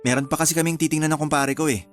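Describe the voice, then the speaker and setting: A man playing an affectionate young Filipino fiancé in a radio drama, soft and teasing as he sweet-talks his partner. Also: on the high side, fairly even intonation, rapid-fire, soft background music, lightly acted. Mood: conciliatory.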